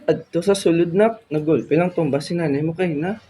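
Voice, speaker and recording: A woman playing a gentle father with a Visayan Filipino accent in an amateur radio drama. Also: fairly low pitch, a little fast, close to an everyday voice. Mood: grave, reassuring. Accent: Filipino, Western Visayas. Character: gentle father breaking news to his child. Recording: faint background noise.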